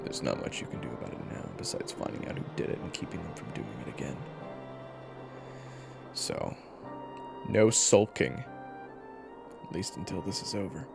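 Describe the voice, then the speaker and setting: A man playing a gruff, sarcastic and fastidious monster hunter in an audio roleplay, dry, scolding and blunt. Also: extremely low pitch, very animated, loud music behind the voice, voice pushed down, exaggerated voice. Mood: blunt, subdued.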